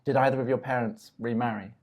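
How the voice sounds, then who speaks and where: A man's voice: medium pitch, steady tone, quick pace, a little mellow. A man hosting an interview as a photographer, curious and prompting, often repeating answers back. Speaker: fashion photographer, host. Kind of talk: interview questions.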